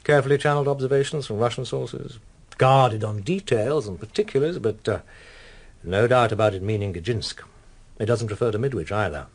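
An actor playing a grave, guarded official in a radio drama, formal and measured with halting pauses. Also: male voice, mid-range voice, lightly acted. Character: grave, guarded British official delivering secret intelligence.

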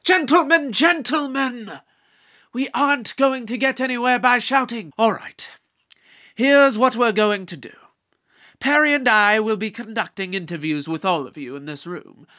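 A man's voice: high voice, normal speed, pushed and bright. A man playing an eccentric, commanding Doctor who leads a murder inquiry with formal, theatrical authority in an audio drama. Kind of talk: scripted audio drama acting.

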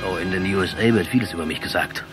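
An actor playing a defiant, ironic revolutionary commander in a radio drama, speaking emphatically and rhetorically. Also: male voice, low-pitched, fast, over music, natural voice.

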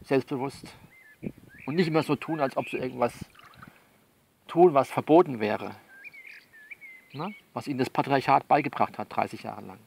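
A man's voice: mid-range voice, normal speed, gravelly, pauses often, a little mellow, slight background noise. A man in a conversational, improvised one-sided monologue, coaxing and bossing a listener along and often repeating himself. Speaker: performer of his own audio novel.

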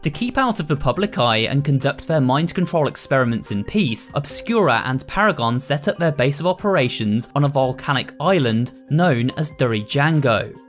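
A man giving a steady, scripted narration explaining a game's story. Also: mid-range voice, moderate pace, few pauses, soft background music.

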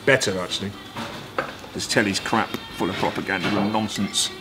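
A man's voice: on the low side, steady tone, moderate pace, over music. A British man in his forties, a vlogger talking casually to camera in an emphatic, wry, explanatory way. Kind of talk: casual vlog chat.

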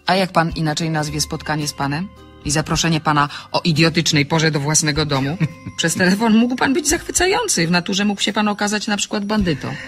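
An actress playing a witty, outspoken single woman in an audio drama, bantering briskly with polite irony. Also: sits low, expressive, a bit bright, soft background music. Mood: ironic, probing. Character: witty, outspoken single woman writer, teasing and self-ironic. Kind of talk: Polish audio drama dialogue.

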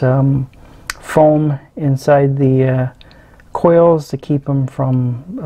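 Middle aged guy talking thru a teardown, slow n hesitant, relaxed mellow voice, kinda unscripted. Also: medium pitch, creaky. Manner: hesitant, halting, unscripted delivery. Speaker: electronics hobbyist presenter. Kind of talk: casual hands-on teardown commentary. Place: indoors at a tabletop.

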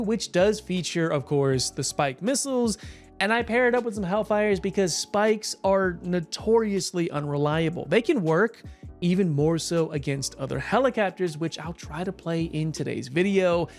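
Hoarse, chatty man with a higher voice. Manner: chatty, rambling, with frequent fillers and short laughs. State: hoarse, tired voice after a long stream. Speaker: gaming YouTuber. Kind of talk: casual gameplay commentary.

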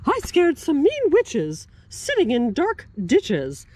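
A woman voicing a second little storybook ghost, bragging loudly in sing-song rhymes. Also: sits high, wildly swooping pitch, takes it slowly, voice pushed up, heavily acted. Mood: proud. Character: proud little rhyming ghost.

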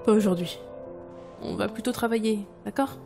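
A woman playing a strong-willed, sardonic book editor in an audio drama, halting and expressive. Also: medium pitch, creaky, lightly acted. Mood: wry, collected. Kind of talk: French audio drama acting. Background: music.

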